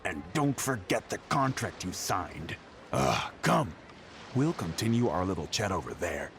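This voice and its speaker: A man's voice: low-pitched, sing-song, unhurried, fairly bright tone, a little hiss or hum, full character voice. An actor playing a pushy, scheming male newspaper boss in a video game, talking down to people in a scornful, bullying way. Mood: threatening, condescending. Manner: condescending, hectoring, slangy.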